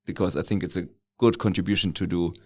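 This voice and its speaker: Low-voiced man, reflective podcast guest. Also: quick pace, husky. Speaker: startup founder, podcast guest. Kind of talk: casual, reflective interview talk.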